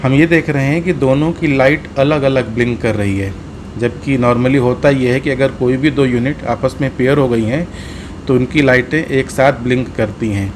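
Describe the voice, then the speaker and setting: An Indian man hosts a practical gear tutorial in a medium-pitched voice. He explains each step in turn and goes back over the key points. Clear ambient noise runs steadily behind his speech. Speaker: host of a motorcycle gear tutorial. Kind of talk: hands-on product demonstration.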